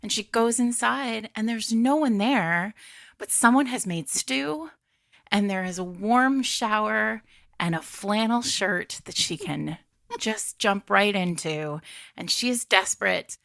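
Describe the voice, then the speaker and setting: Female podcast host, bright and punchy, very dry close sound, playful storyteller in casual book talk. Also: mid-range voice, slow pace, creaky, no room sound. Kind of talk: casual book recommendation chat.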